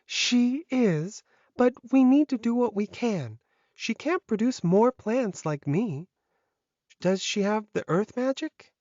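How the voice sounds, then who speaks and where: A woman's voice: a little high, expressive, takes it slowly, mellow, no echo, dull audio. A woman voicing a mischievous, idealistic little peasant girl in a fantasy audiobook, earnest and proud. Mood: insistent. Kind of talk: audiobook character dialogue.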